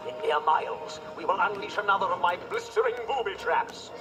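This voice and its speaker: A man's voice: high voice, a little fast, over loud music, lightly acted. A man voicing a grandiose, scheming cartoon character in a television clip. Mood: menacing.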